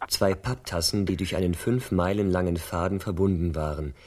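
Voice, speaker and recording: A man's voice: low-pitched, moderate pace, a little mellow. A man giving scripted storybook narration in a radio play.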